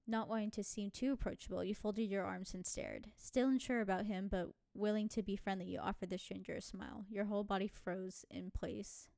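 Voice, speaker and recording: A woman's voice: mid-range voice, steady tone, fairly quick, gravelly, hardly any pauses, mellow, no echo. A woman playing a story game, reading the narration aloud and adding casual, giggly asides. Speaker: video game streamer.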